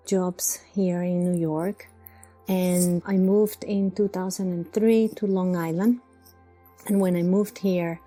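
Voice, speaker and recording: A woman from Colombia, an architect, giving a measured, earnest account of her own path. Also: fairly low pitch, dark tone. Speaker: guest architect. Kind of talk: recorded personal account.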